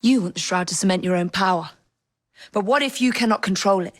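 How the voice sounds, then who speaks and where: A woman's voice: medium pitch, a little fast, dry recording, studio quality, close to an everyday voice. An actress playing a composed young Victorian woman assassin with an English accent in a video game, wry, proper and determined. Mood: measured. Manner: crisp, proper, understated.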